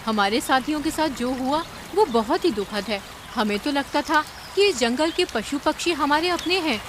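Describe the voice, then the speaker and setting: Actress as female ant queen, cartoon, high pitch, commanding, declamatory, clear rain noise behind. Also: lightly acted. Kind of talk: Hindi animated cartoon story acting.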